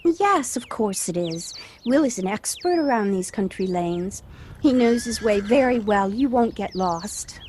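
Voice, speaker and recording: Woman acting a gentle countryside widow, reassuring, lilting up and down, amateur radio play, slightly noisy home recording. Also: medium pitch, animated, a little slow, close to an everyday voice. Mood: bright, reassuring. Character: gentle, stoical widowed mother, nature-loving countrywoman. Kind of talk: amateur audio drama acting.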